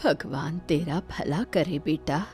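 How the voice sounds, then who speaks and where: Woman as a pleading old village woman, unhurried. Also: sits low, darkish tone, faint music behind, pitched below the performer's own voice. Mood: grateful.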